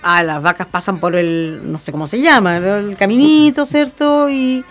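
A woman's voice: mid-range voice, animated, normal speed. A woman with a Chilean accent hosting a radio interview in a chatty, colloquial, conversational way. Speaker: radio programme host.

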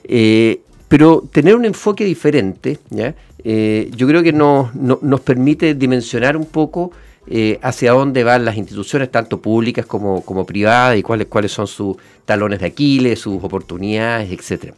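A man with a Chilean accent hosting a conversational interview, haltingly repeating words and checking in with short tags. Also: mid-range voice, darkish tone. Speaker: interview show host, a doctor.

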